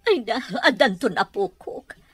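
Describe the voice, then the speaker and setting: A woman playing a snobbish, suspicious, theatrical wealthy middle-aged mother with a husky voice in an Ilocano radio drama. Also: fairly high pitch, big pitch swings, unhurried, a bit bright, no echo, exaggerated voice. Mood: incredulous, prying.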